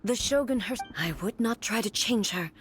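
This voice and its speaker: A woman's voice: a little high, animated, normal speed, heavy background noise, lightly acted. A woman playing a solemn, imperious immortal warrior ruler in a video game, speaking in a stately, formal, commanding manner. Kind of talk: dramatic video game voice acting. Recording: loud music behind the voice.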